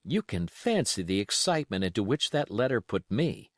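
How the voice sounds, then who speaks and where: A man reading a classic adventure novel aloud as an audiobook, in an expressive, measured storytelling delivery. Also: on the low side, no echo, clean studio sound. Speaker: audiobook reader.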